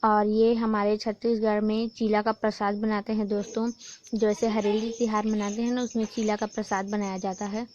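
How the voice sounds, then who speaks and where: A young woman from Chhattisgarh, hoarse from a sore throat, chatting casually to her viewers in a home vlog. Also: medium pitch, little pitch movement, moderate pace, a little mellow, lo-fi sound, a little hiss or hum. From Chhattisgarh, India. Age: young adult. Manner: chatty, keeps addressing viewers as friends. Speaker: vlogger. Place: village home during a festival.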